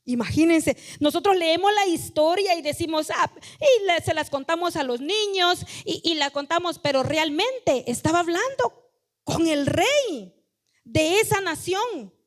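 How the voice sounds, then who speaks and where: A woman's voice: extremely high pitch, expressive, unhurried. A woman pastor preaching a sermon in a conversational, emphatic way, speaking directly to the congregation and acting out quoted voices.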